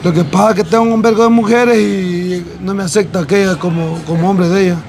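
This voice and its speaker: Young Salvadoran male interviewee, high-pitched, emphatic and slangy, on a noisy street with traffic. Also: steady tone, fairly quick. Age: young adult. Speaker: interview guest, former footballer living on the street. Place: outdoors on a city street at night. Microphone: clip-on lavalier.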